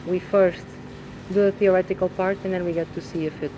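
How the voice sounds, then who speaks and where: A man's voice: sits high, fairly even intonation, a little fast, background noise. A man from Portugal, a student, introducing himself casually with some filler words.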